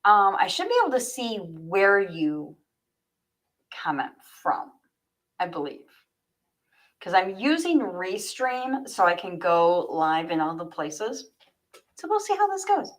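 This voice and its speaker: A woman's voice: mid-range voice, big pitch swings, slow pace, pauses often. A woman livestreaming as an author, chatty and informal as she speaks straight to her viewers. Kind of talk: casual livestream chat, then reading own fiction aloud.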